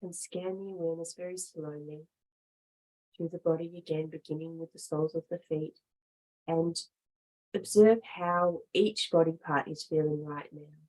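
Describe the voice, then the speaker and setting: A woman with a fairly low voice leads a guided relaxation as a yoga teacher. She gives measured instructions in short phrases, leaving long pauses between them. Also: steady tone, darkish tone, low-quality recording.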